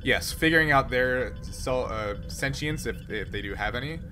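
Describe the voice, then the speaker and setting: A man at a middle pitch acts as game master, walking players through the rules with lots of "uh"s and restarts. Clear music runs under his voice. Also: lively intonation, moderate pace. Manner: explains rules patiently, many fillers and restarts. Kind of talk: running a tabletop roleplaying game.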